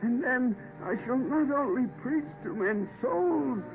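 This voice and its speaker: A man's voice: very high voice, expressive, unhurried, full character voice. An actor playing a saintly old parson on his deathbed, speaking fervently and pleading to be believed. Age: older. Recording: tinny old radio broadcast with music.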